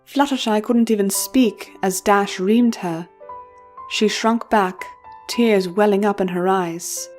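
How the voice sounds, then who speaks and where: A woman's voice: mid-range voice, fairly even intonation, slow pace, darkish tone, faint music behind. A woman narrating a story in a dramatic, scripted reading style.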